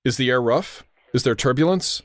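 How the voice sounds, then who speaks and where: An actor playing a steady, grave radio operator who gives precise, authoritative instructions and narrates in the style of old-time radio drama. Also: male voice, medium pitch, moderate pace, a little hiss or hum, natural voice. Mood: controlled.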